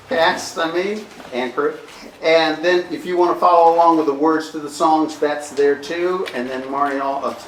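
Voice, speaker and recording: A man giving informal, somewhat halting pre-show remarks to a live audience as a co-presenter. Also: fairly high pitch. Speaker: co-presenter introducing a staged reading. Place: indoor arts venue, before a live audience. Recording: echoey, distant live-event recording with faint noise.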